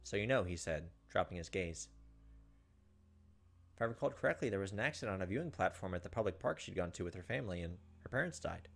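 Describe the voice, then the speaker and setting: A man's voice: low-pitched, expressive, fast talker, vocal fry. A man, reading visual novel text aloud as a gaming host, with casual asides. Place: indoors, recording at a computer. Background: faint game music.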